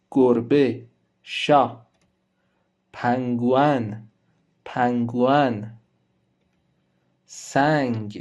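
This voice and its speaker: Slow, mellow-voiced male language teacher. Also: medium pitch. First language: Persian. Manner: slow and careful, repeating each word twice before translating it. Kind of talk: scripted language lesson.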